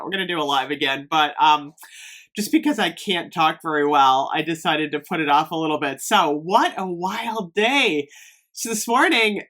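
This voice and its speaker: A woman from Northeast Ohio vlogging casually about fragrances, slightly hoarse, chatty with lots of fillers. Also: on the low side, a bit bright. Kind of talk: casual chat to camera.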